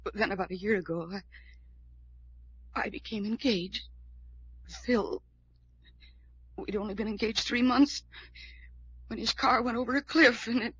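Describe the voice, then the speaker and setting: An actress playing a distraught young woman convinced she is doomed, speaking in a halting, melodramatic way in an old-time radio drama. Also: fairly high pitch, fairly bright tone, slightly rough, exaggerated voice. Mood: grieving, halting. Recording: dull vintage radio recording.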